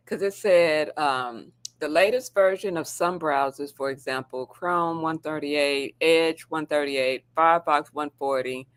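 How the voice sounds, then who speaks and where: A woman from the United States co-hosting a casual morning show in an informal, storytelling way. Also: low voice. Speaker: talk show co-host.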